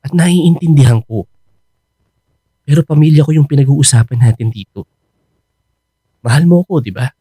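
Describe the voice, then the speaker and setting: An actor playing an earnest young Filipino boyfriend in a radio drama, coaxing and reassuring with some exasperation. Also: male voice, mid-range voice, expressive, moderate pace, long pauses, dark tone, slightly rough, dry recording. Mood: pleading. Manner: pleading, coaxing.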